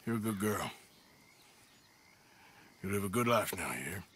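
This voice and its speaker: Weary cowboy outlaw, slow swooping American drawl. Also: male voice, lowish voice, animated, husky, exaggerated voice. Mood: tender. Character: weary, bitter, loyal cowboy outlaw, gentle with women and children. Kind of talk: cinematic western game acting. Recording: loud music behind the voice.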